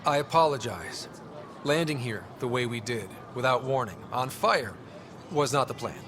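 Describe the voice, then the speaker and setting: A man's voice: mid-range voice, expressive, normal speed, noisy background, natural voice. A man playing a young human explorer who commands a spaceship in a video game, plucky and wry. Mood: earnest, polite. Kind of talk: video game cutscene acting. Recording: loud music behind the voice.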